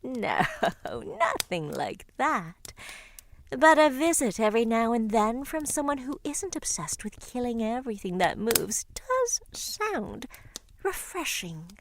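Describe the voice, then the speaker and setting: A motherly woman of the woods , played by an actress, talks in a very animated, swinging voice. She is wry and affectionate, teasing like a fond old friend in a visual novel. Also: a little high, takes it slowly, slight background noise, exaggerated voice.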